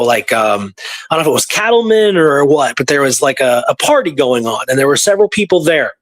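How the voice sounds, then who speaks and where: A man hosting a history talk, telling stories casually and going through dates and records in detail. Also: fairly high pitch. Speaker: podcast host presenting historical research. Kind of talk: casual storytelling conversation.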